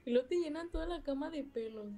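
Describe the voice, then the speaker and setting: A woman's voice: high-pitched, fast, warm tone, slight background noise. A woman chatting casually in an informal, slangy way. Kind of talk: casual chat.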